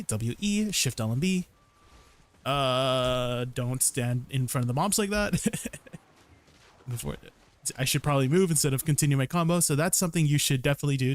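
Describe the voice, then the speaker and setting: A young man casually commenting on his gameplay during a livestream, explaining combos and answering viewers, often ending sentences with "right?". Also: medium pitch. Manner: chatty, answers viewers' questions. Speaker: game streamer.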